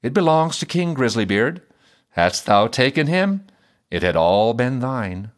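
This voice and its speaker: A bossy, matter-of-fact fiddler from a fairy tale, read by a storyteller. He talks bluntly and reproachfully to his wife, with an expressive, varied delivery. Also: mid-range voice, a little slow.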